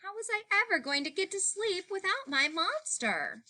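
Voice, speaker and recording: A woman reading a children's picture book aloud in an expressive narrating style. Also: very high-pitched, normal speed. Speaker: story reader.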